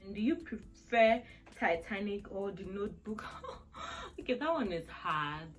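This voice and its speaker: A woman's voice: medium pitch, expressive, unhurried, soft background music. A woman in her twenties chatting through a question-and-answer vlog, rambling and laughing often. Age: 20s. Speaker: vlogger. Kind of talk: casual Q&A chat.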